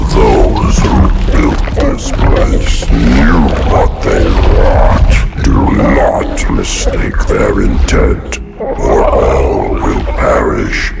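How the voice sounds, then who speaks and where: Gravemind, a huge ancient monster. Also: male voice, heavily acted. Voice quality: rumbling, gravelly, creaking. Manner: rhyming, solemn, commanding. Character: vast ancient parasite mind, slow, menacing, male. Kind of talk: video game cutscene acting. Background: loud game score.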